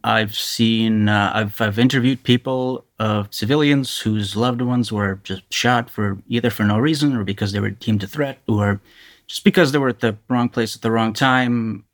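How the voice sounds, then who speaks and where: Male reporter as interviewee, lowish pitch, candid eyewitness storytelling with a halting delivery. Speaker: journalist being interviewed. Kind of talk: candid first-person interview account.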